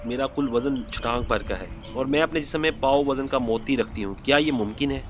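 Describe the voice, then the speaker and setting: A man's voice: medium pitch, lightly acted. Man voicing a wise little female sparrow, noisy recording. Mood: scolding. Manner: admonishing, deliberate, repeats her advice. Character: small wise female sparrow, reproachful counsellor. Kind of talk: storybook moral tale dialogue.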